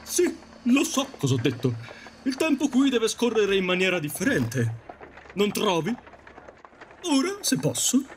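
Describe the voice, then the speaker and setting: A man's voice: high voice, wildly swooping pitch, unhurried, slight background noise, exaggerated voice. A man acting a wistful, romantic Victorian ghost who tells stories theatrically in an audio drama. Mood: explanatory. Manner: theatrical storytelling.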